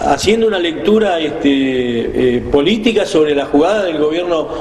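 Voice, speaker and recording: An Argentine man co-hosting a talk show, offering conversational political commentary, halting and repeating words for emphasis. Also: a little high, animated, a little mellow. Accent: Argentine Spanish. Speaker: radio talk co-host. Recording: echoey hall, faint background noise.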